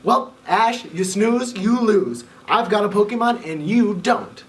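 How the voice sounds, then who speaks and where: A man voicing an arrogant young rival in a storybook reading, with a slow, drawling, sneering delivery. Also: sits high, fairly bright tone. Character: smug bullying boy rival, sneering. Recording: voice a bit far from the mic.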